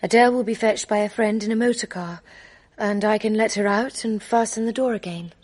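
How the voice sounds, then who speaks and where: A woman's voice: mid-range voice, brisk, darkish tone, natural voice. A woman playing a young genteel lady breezily giving her maid instructions in a radio drama. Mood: breezy.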